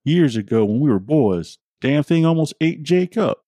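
A man's voice: fairly high pitch, animated, moderate pace, warm tone, no room sound, clean studio sound, pitched above the performer's own voice. A man voicing a crude, gossipy old country man who cackles over his stories. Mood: knowing.

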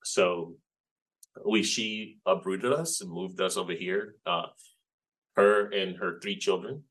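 A man in his forties with a very low voice. He is a Spanish speaker who talks as an interview guest. He recounts things reflectively and often adds "you know". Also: fairly even intonation, a bit bright, rough recording. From Puerto Rico. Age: 40s. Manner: reflective, unhurried storytelling with frequent "you know". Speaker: guest, game developer. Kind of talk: casual interview chat.

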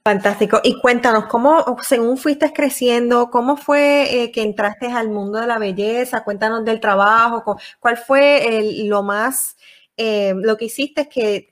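A woman's voice: on the high side, steady tone, unhurried. A woman hosting a podcast interview, greeting her guest effusively and asking him about his life. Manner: warm and effusive. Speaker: podcast host. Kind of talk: casual interview.

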